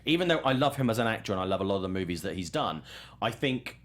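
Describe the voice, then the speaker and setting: A man's voice: lowish voice, expressive, quick pace. A man hosting a talk show, giving conversational film commentary and thinking aloud as he goes.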